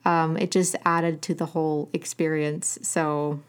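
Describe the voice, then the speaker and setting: A woman with a fairly low voice who is hosting an interview with an author. She chats warmly and eagerly, heaping praise and easing into her questions. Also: level tone, a little slow, clear, resonant tone. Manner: chatty, enthusiastic, complimentary.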